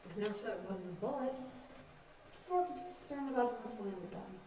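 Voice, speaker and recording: A woman's voice: fairly high pitch, animated, brisk, dark tone, lightly acted. A young woman acting a blunt, unflappable, street-smart thief in a stage play, dry and matter-of-fact. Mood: probing. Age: young adult. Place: indoor stage, recorded from afar. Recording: distant echoey stage recording, faint noise.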